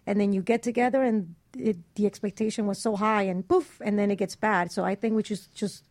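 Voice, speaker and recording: A woman's voice: medium pitch, fairly even intonation, brisk, a little mellow, no echo. A woman co-hosting a radio talk show, giving her opinions in blunt, casual chat. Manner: blunt, explicit.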